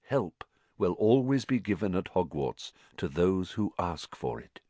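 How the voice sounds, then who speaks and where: A wise, gentle old headmaster who speaks low, soft and slow, as a male narrator reads him. His voice sits deep and calm in a dry, close booth. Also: dry recording, full character voice. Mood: solemn.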